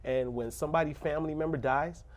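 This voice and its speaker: A man who grew up in a rough neighborhood, speaking as a pastor in a casual interview, earnest and preacherly, breaking into laughs and jokes. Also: a little high, steady tone, fast talker. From Little Haiti, Miami.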